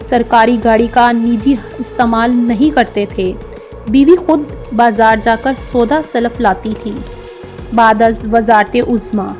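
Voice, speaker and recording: Woman, higher-pitched voice, formal documentary narrator, measured scripted reading, faint music behind. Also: darkish tone. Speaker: documentary narrator and channel presenter.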